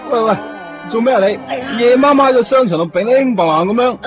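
A man's voice: very high voice, lively intonation, fast talker. A man acting a bossy, grumbling mall caretaker in a radio drama, scolding with a Hong Kong Cantonese accent. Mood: annoyed. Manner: nagging, exclamatory scolding. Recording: dull lo-fi radio recording, music behind.

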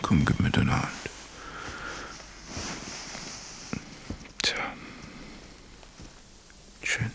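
A man acting a gentle husband who soothes his wounded wife in an intimate radio play, speaking in a hushed, tender, husky way. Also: slow pace, whispered, lightly acted. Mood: tender, coaxing. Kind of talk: intimate naturalistic German radio drama acting.

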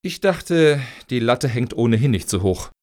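A man's voice: mid-range voice, expressive, moderate pace, a little mellow, lightly acted. A man acting in a radio satire as a blunt, cynical grant applicant with a dry, sarcastic manner. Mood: blunt, defiant.

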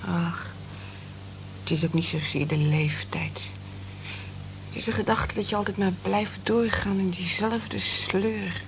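Radio play: actress as plaintive, reflective middle-aged woman, weary and discontented, medium pitch, lo-fi. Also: steady tone, measured pace, pauses often, close to an everyday voice. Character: weary, wistful working woman of forty-four, discontented. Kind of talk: radio drama acting. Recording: dull lo-fi old radio recording, hiss.

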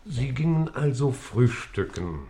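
A man's voice: mid-range voice, sing-song, unhurried, warm tone. A man playing a dry, dismissive, matter-of-fact questioner in a radio play.

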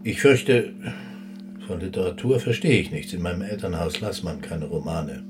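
Male actor as dead general, radio drama, brusque, wry, aristocratic, sceptical, clear music behind. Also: low-pitched, normal speed, close to an everyday voice. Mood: curt, dismissive. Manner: curt, dry, sceptical. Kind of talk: radio play dialogue.

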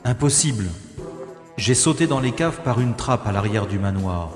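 Guy acting as dry witty gallant adventurer in a radio play, medium pitch, really echoey. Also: animated, moderate pace, close to an everyday voice. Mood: puzzled, searching. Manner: wry, formal phrasing, thinking aloud. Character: wry, gallant male adventurer rescuing a young woman. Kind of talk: French-language audio drama acting with first-person narration. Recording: echoey long reverb, music behind.

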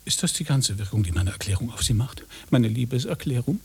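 A self-important, lecherous phoney policeman in a radio drama. His voice is markedly husky and leaps about in pitch. He is pushy and theatrical, with a pedant's love of his own words. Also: animated, fairly quick, a little mellow, heavily acted. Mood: pompous courting. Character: vain, pushy fake policeman, lecherous self-styled seducer. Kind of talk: German radio play acting.

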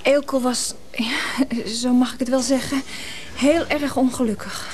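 An actress playing an eager, high-strung Dutch woman in a radio play, talking in a nervy, insistent way. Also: steady tone, a little slow, a little mellow, slight background noise. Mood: nervous, apologetic. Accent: Netherlands Dutch.

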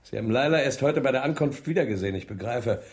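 An actor playing an inquisitive bon-vivant gentleman in a radio crime drama, measured, knowing and probing. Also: mid-range voice, lively intonation, close to an everyday voice.

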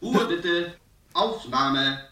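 An actor playing an impatient studio director in a 1960s German radio crime play, in a roomy sound. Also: male voice, on the high side, animated, very slow, pushed and bright, full character voice. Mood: commanding.

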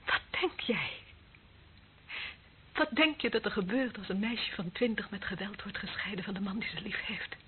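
Actress doing embittered young cop woman in a radio play, intense, whispery at times, medium pitch, phone-like old tape sound. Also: lively intonation, moderate pace, close to an everyday voice. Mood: scornful, anguished. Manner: bitter, taunting, intense, often dropping to a whisper. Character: embittered, lonely young policewoman, former death squad member. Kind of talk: radio drama acting. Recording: muffled phone-like band, clearly noisy old recording.

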